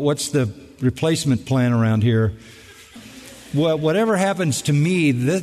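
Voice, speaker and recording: An older man, a pastor answering questions from the congregation in a measured, reflective way with touches of humor. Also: medium pitch, a little mellow. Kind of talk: live Q&A answers. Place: large church auditorium. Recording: long reverb of a large hall.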